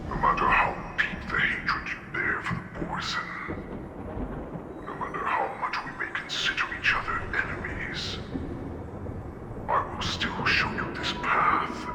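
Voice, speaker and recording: An actor playing an ancient, proud male wolf warlord who speaks in slow, brooding villain speeches in a video game cutscene. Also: loud music behind the voice, natural voice. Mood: scornful. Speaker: final boss. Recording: echoey game mix with loud ambience.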